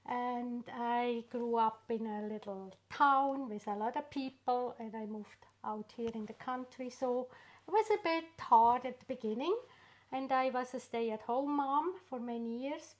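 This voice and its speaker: A middle-aged woman from Switzerland with a Swiss accent, an artist being interviewed about her work, speaking unhurriedly with pauses and fillers. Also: fairly high pitch. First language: not English. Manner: unhurried, warm storytelling with frequent pauses and fillers. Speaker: artist guest. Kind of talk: casual interview.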